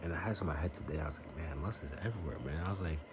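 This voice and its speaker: Young man, very low voice, earnest preachy vlogger, noisy home recording. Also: racing. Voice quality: very deep voice. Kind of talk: casual faith-based vlog talk.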